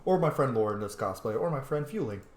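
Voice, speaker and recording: A man's voice: medium pitch, expressive, fast talker, echoey room. A man giving casual, joking commentary as he plays through a game, chatting informally. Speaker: game player, streamer.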